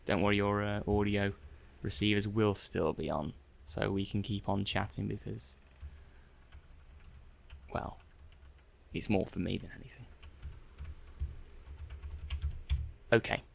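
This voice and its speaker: A man playing an affable, slightly flustered robot-testing technician in a soft-spoken ASMR roleplay, hesitant and musing aloud. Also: fairly low pitch, fairly even intonation, measured pace, gravelly, pauses often, a little hiss or hum. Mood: chatty, slightly flustered.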